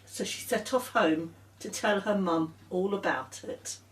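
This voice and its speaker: A woman reading a picture book aloud to young children as an expressive storyteller. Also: mid-range voice, unhurried. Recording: roomy echo, distant microphone.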